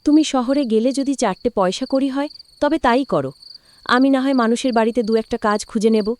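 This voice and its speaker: A woman's voice: fairly high pitch, fast, darkish tone, a little hiss or hum, natural voice. A woman playing a poor, resilient village wife in a cartoon drama, anxious yet encouraging. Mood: anxious, resolute.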